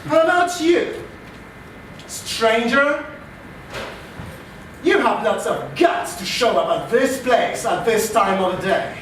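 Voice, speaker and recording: A swaggering frontier character, loud and full of himself, put on by a man with a French accent. The voice sounds far off in a very echoey room. A steady noisy wash hangs behind it. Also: extremely high pitch, slow, long pauses, heavily acted. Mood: brash. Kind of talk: live improv scene. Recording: distant, very echoey live venue.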